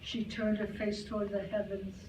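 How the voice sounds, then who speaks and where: A woman giving a dramatic, deliberate staged reading of a story. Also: medium pitch, little pitch movement. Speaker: reader at a community readers theater. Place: club hall stage, before an audience. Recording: distant mic, echoey hall, noisy.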